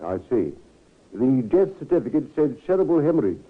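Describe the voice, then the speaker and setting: Formal detective inspector, mid-pitched voice, measured and probing, thin vintage radio sound. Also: male voice, sing-song. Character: formal, methodical male Scotland Yard police inspector. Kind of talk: old-time radio acting. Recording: tinny vintage radio recording.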